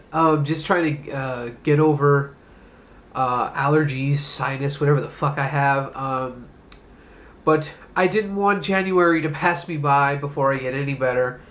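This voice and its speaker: A man with a slightly high voice talks through a heavy cold, his nose stuffed up and a cough breaking in now and then. He rambles loosely and casually and drops the odd curse word while he hosts his own movie review show. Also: unhurried, a bit bright. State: coughing, sick. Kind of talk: casual solo video review.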